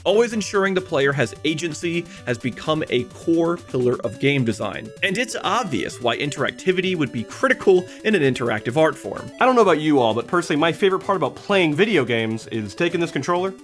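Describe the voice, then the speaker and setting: A man in his thirties narrating a scripted video essay in an emphatic, wry way with dramatic pauses. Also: a little high, sing-song, a bit bright. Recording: music behind the voice. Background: background music.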